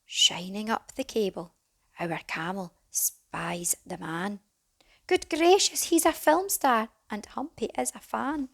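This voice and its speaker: A woman reading a rhyming children's storybook as a teacher would, dramatic and animated, with some hushed phrases. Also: medium pitch, slow, creaky. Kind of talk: expressive children's storybook narration in rhyme.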